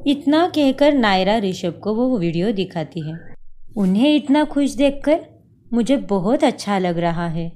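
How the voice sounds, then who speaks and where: A woman voicing a young daughter-in-law in a cartoon story, excitable and emotional. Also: mid-range voice, measured pace, close to an everyday voice. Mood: fond.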